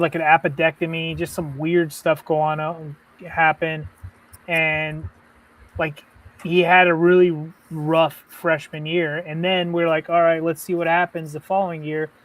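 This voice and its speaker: A man's voice: a little high, little pitch movement, moderate pace, fairly bright tone, poor audio. A man co-hosting a live sports podcast, chatting loosely with lots of "like" and "you know". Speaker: podcast co-host. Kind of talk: casual live-stream chat.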